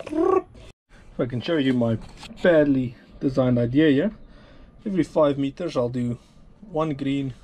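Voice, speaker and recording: A man's voice: medium pitch, gravelly, mellow, a little hiss or hum. A South African man, around his thirties, narrating a sailing vlog in an informal, laid-back way. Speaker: sailing vlogger.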